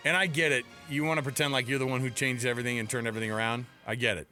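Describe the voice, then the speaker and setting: A man's voice: mid-range voice, flat delivery, racing, sharp tone, soft background music. An American man co-hosting a sports radio show, chatting casually and jokingly in colloquial style from a studio.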